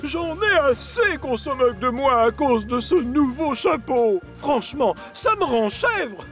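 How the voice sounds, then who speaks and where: A grumpy railway boss in a children's cartoon. His voice is very high and animated, full of blustery, exasperated sighs, with music underneath. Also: a little slow, full character voice. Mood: fed up. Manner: blustery, sighing, theatrical. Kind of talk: French cartoon dubbing.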